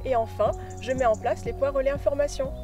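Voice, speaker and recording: A woman with a high voice introduces her project role at a tourist office. The speech is scripted, with clear music behind it. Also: fairly even intonation, a little fast, warm tone. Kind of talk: scripted staff presentation.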